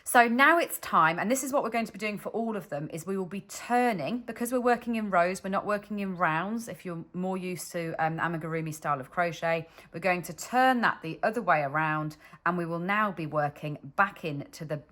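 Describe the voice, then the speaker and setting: A woman's voice: fairly low pitch, a little fast, hardly any pauses, a bit bright. A woman with a British accent teaching crochet stitches step by step, patiently explaining as she demonstrates. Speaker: crochet instructor. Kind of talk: step-by-step tutorial. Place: indoors at a wooden table, hands only.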